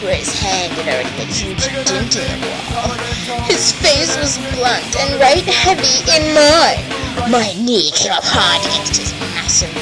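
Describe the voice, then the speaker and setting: High-pitched theatrical woman narrator. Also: sing-song, unhurried, loud music behind the voice, poor audio. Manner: theatrical, exaggerated emphasis. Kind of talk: mocking dramatic reading of fanfiction.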